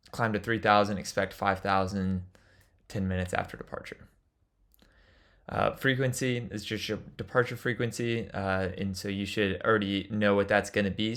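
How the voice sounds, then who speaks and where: A man's voice: on the low side, fairly bright tone. A man giving an instructional lecture as a flight instructor, explaining things in an informal way.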